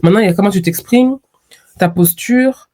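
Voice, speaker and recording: Mellow-voiced woman guest, reflective. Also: medium pitch, brisk. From France. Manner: reflective, with lively quoted asides. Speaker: podcast guest. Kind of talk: conversational interview.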